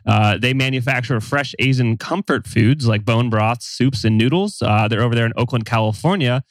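Brief: male podcast host, mid pitch, dry close recording, relaxed, filler-heavy. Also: normal speed, dry recording. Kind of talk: casual podcast hosting.

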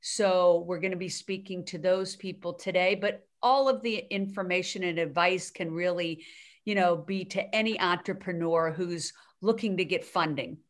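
A woman's voice: on the low side, fairly even intonation, normal speed. A woman hosting a podcast interview, talking in an upbeat, enthusiastic way and cutting in to stress her guest's points. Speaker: podcast host. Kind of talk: casual interview chat.

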